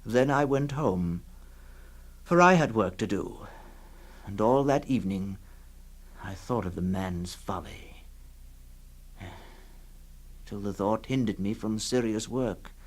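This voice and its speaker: An actor playing a brooding, scornful man who tells an uncanny tale in a theatrical, deliberate 1960s radio reading. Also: fairly low pitch. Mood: brooding, eerie. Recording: noisy 1960s radio recording.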